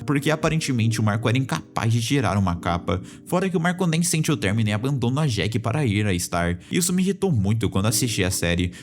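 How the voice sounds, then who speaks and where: A man hosting a cartoon channel, narrating a scripted video essay. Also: on the low side.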